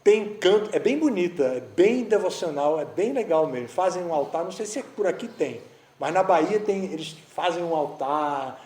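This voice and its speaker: A man's voice: sits high, warm tone. A man giving a conversational devotional talk, telling a story with frequent restarts. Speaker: spiritual teacher giving a talk. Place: eco-village gathering, roomy space. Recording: roomy echo.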